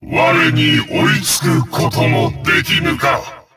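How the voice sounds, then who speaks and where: An actor playing a monstrous, godlike djinn in a video game, grandiose, imperious and menacing, sounding distant. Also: male voice, very high voice, wildly swooping pitch, slow pace, over loud music, exaggerated voice. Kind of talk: theatrical video game boss acting.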